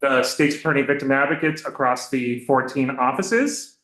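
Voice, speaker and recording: A man speaking as a victim advocate before a legislative committee, loud and hesitant with many fillers. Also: mid-range voice, normal speed. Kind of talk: committee testimony. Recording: echoey hearing room.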